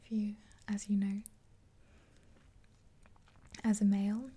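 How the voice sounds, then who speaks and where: A woman in her twenties whispering gently and breathily in an ASMR roleplay, close to the mic. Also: medium pitch, little pitch movement, breathy edge. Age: 20s. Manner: gentle, unhurried. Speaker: ASMR creator playing a makeup artist. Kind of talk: ASMR roleplay, soft rambling chat. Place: small indoor room. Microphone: close mic, face near camera.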